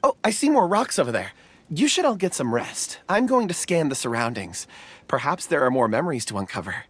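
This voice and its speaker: A man playing a gentle, earnest young man in a video game, polite and soft-spoken. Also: fairly high pitch, very animated, a little fast, a little hiss or hum. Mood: pleased. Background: game music.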